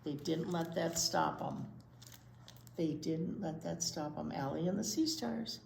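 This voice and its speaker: A woman reads a story for young children at an unhurried pace. Her pitch swings widely with drawn-out emphasis, and the room adds a clear echo. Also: expressive, darkish tone. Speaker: children's library storytime co-host and reader. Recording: echoey room.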